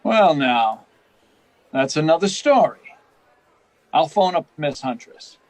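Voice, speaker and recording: A man's voice: a little high, very animated, slow, a little mellow. A man playing a slangy, wary, bribable hotel house detective in an old-time radio play. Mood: sly, agreeable.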